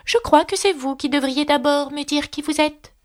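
A woman's voice: fairly high pitch, big pitch swings, brisk, voice pushed up. A woman playing a polite, earnest young storybook girl who explains herself at length and is easily puzzled. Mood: indignant.

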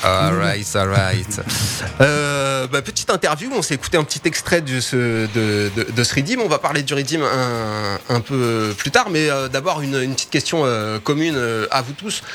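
A man hosting a casual radio interview in a studio, relaxed, dropping English words like "all right" between French phrases. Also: mid-range voice, normal speed, slight background noise. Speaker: radio show host. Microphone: studio microphone with headphones.

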